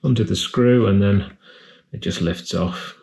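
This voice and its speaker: A man's voice: on the low side, measured pace, darkish tone. A man giving a casual, matter-of-fact repair tutorial, explaining each step as he works. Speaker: repair video host. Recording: clear background noise, handling clicks.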